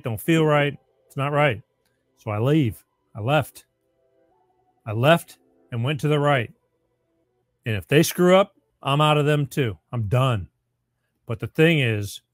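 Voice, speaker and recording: A somewhat raspy man hosting an informal political talk show, plain-spoken and repeating phrases for emphasis. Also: medium pitch, no room sound. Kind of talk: informal live opinion monologue to listeners.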